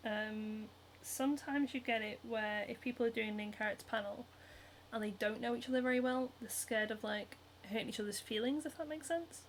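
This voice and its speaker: Chatty Northern English woman, higher voice. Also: brisk, slight background noise. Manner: chatty and giggly, many ums and likes. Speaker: cosplayer and livestream host. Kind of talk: casual livestream chat, reading viewer comments. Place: home, hand sewing while streaming.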